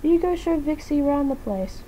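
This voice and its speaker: Woman playing a high-voiced wistful chicken girl. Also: lively intonation, normal speed, mellow, a little hiss or hum, poor audio. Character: female animatronic chicken cook, wistful and polite. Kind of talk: amateur fan fiction dramatic reading.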